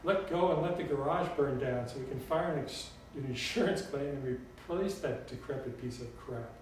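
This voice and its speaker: A man's voice: mid-range voice, normal speed, natural voice. A troubled, wistful woman in an audiobook story, voiced by a male narrator. The voice carries her frustration, heard from a little distance in a very reverberant room with faint noise. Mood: frustrated. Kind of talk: audiobook character dialogue.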